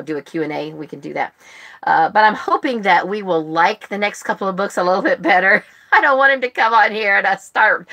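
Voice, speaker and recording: Bright-voiced American woman chatting casually. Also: fairly low pitch. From United States. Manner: chatty and rambling. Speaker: host of a book club live stream. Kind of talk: casual chat. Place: live stream, speaking to viewers online.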